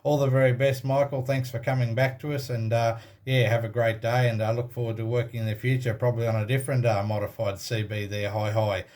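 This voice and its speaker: Flat-pitched Australian man, amateur radio operator. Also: brisk, hardly any pauses. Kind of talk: casual ham radio contact.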